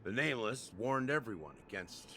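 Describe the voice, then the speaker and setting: An actor playing a grave, knowing man in a video game who recounts dark history in a solemn, measured way, over music. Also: medium pitch, big pitch swings. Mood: grave, explanatory. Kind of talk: scripted video game dialogue. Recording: game audio with background music.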